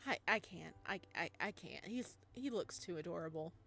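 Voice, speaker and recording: A woman's voice: on the low side, lively intonation, normal speed, vocal fry, fairly bright tone, voice pushed down. A woman reading the lines of an eccentric single dad and inventor in a visual novel, earnest and often stammering. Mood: flustered.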